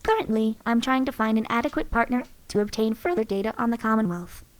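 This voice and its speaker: A woman voicing a composed female synth companion who speaks in a calm, formal, clinical way. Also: fairly high pitch, fast, close to an everyday voice. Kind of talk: recorded companion voice lines.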